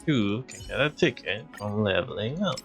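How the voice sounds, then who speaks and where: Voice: mid-range voice, animated, normal speed. A game streamer reacting casually while playing, with murmured asides and game music faintly behind.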